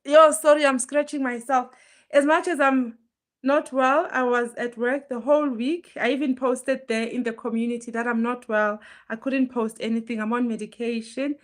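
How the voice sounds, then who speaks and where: Hoarse, earnest woman hosting a live chat. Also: a little high, steady tone, moderate pace. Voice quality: normally very loud voice, pushing it now. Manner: chatty, earnest, greets viewers by name. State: hoarse, strained from illness. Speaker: live stream host. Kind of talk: casual motivational live chat.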